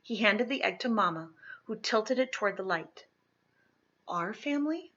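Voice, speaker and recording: A woman reading a children's historical story aloud, expressively and dramatically, with emphatic swells and hushed drops. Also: mid-range voice, moderate pace, dull audio. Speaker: storybook reader.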